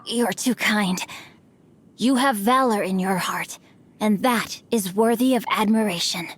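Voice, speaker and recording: A woman's voice: medium pitch, unhurried, a little hiss or hum, lightly acted. A woman voicing a witty, polite young male noble swordsman in a video game, speaking in a formal, courteous manner with dry teasing.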